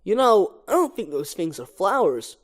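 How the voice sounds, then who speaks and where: A man voicing a cool cartoon bee who leads a squad of jocks, brisk in manner. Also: high-pitched, sing-song. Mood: matter-of-fact. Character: Jackson, male pollen jock bee, cool squad leader.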